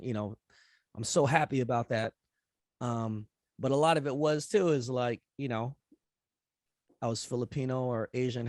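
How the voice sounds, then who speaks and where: A middle-aged man talking casually at a forum, relaxed and rambling with many fillers. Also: medium pitch, no echo, studio quality. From United States. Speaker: rapper and event host speaking at a forum. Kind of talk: casual conference talk after a rap performance.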